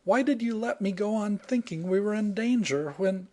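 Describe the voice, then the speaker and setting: A man reading aloud and voicing an emotional young woman, trapped by the tide and later indignant, in a high, strained voice. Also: moderate pace, mellow, close to an everyday voice. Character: anxious excitable young woman in peril.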